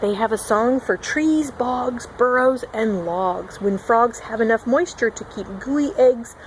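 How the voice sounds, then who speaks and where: Female teacher for a children's read-along, higher-pitched and expressive, amateur recording with some background noise. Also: measured pace, rough recording. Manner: expressive, acting out animal sound words. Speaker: children's teacher reading aloud. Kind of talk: picture-book read-along with asides to young children.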